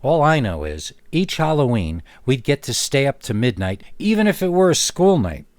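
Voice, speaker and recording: A man reading a first-person scary story as a narrator, expressive and dramatic, leaning on words with deliberate pauses. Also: mid-range voice, no echo.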